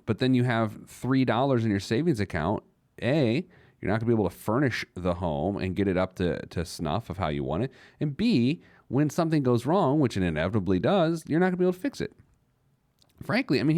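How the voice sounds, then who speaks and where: A man's voice: fairly low pitch, darkish tone, dry recording, studio quality. A man hosting a personal finance show, giving conversational advice in an emphatic manner with rhetorical questions and joking asides.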